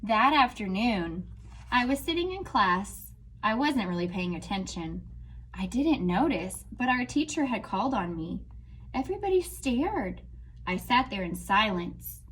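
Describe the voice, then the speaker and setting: Woman teacher, read-aloud storytime, slow and animated delivery. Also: medium pitch, breathy edge. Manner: slow, expressive storybook reading to children.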